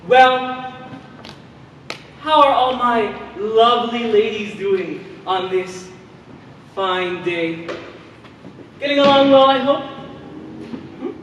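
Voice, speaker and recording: Stage play: male actor as patronising, quietly menacing authority figure, high-pitched and very slow, distant in a reverberant hall. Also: full character voice. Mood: syrupy, patronising. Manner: patronising, drawn-out, theatrical. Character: condescending male overseer of girls, saccharine, quietly menacing. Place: theater stage, distant microphone. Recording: echoey noisy theater hall from far off.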